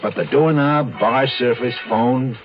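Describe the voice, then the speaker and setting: An actor playing a matter-of-fact, casual homicide detective in a 1970s radio drama. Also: male voice, mid-range voice, slow. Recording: dull old radio recording.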